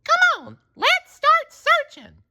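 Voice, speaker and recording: A spirited, quick-tempered cartoon squirrel lady, acted out by a man. The voice leaps very high above natural speech and is bright and urgent, as in an animated storybook. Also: a little hiss or hum, exaggerated voice. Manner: exclamatory, urgent.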